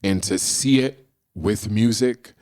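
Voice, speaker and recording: A middle-aged man with a low voice, a podcast guest who tells his stories animatedly and acts out the dialogue. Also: slow, darkish tone. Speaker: guest, screenwriter and actor. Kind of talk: casual storytelling in a podcast interview.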